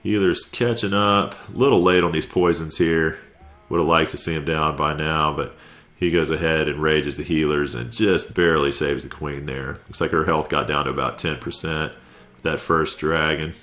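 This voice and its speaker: Stuffy very deep-voiced game commentator. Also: male voice, steady tone, moderate pace, a bit bright. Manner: laid-back, conversational, with light chuckles. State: stuffy from a head cold. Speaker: clan war recap commentator. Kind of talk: casual video game play-by-play voice-over.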